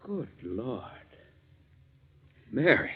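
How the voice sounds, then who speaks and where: A man's voice: mid-range voice, very animated, very slow, slightly rough, heavily acted. An actor playing a wealthy, stern but kindly businessman in a 1940s radio drama, speaking in an emphatic, formal way. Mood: guarded, shaken. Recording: muffled vintage 1940s radio recording.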